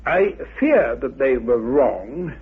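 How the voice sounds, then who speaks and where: Male expert, formal and courteous radio interviewee, gravelly, very husky delivery. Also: mid-range voice, big pitch swings, slow pace. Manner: courteous, formal, politely dismissive. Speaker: interviewed expert on Atlantis theories. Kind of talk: radio interview, measured scholarly exposition. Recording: muffled, band-limited radio recording.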